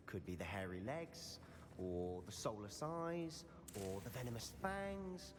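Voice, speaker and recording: An actor playing a young, curious student adventurer in a video game, making dry, wry remarks. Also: male voice, mid-range voice, animated, a little slow, noisy background, close to an everyday voice. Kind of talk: video game character dialogue.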